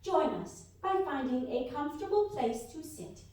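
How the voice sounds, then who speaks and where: A woman's voice: high voice, animated, normal speed, dark tone. A woman playing a blissful, soothing meditation host in a spoof radio sketch. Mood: serene, blissful. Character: serene, blissful female guided-meditation host. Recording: echoey hall stage, distant mic.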